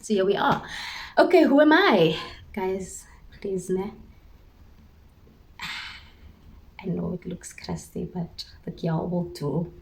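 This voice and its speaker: A woman's voice: on the low side, takes it slowly, creaky, long pauses, slight background noise. A young woman in her twenties from Cape Town, speaking with a Cape Town South African accent and Afrikaans as her first language, chatting casually to camera at home. She is talkative and self-mocking, laughs often and sometimes drops to a whisper. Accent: Cape Town South African English. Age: 20s. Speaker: vlogger, primary school teacher.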